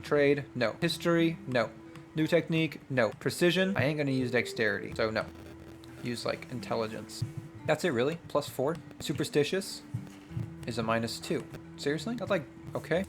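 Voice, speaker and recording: A man playing a tabletop game, reading narration aloud and thinking out loud in excitable, slangy asides. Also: a little high, normal speed. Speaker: player and host of a gaming channel. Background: music.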